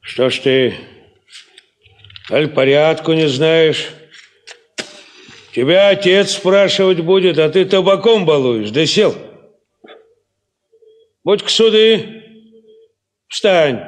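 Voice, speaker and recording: Slow, high-voiced peasant master. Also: male voice, animated. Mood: stern. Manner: deliberate, admonishing. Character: well-off peasant master, measured, stern, authoritative. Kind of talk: Soviet 1950s radio play acting.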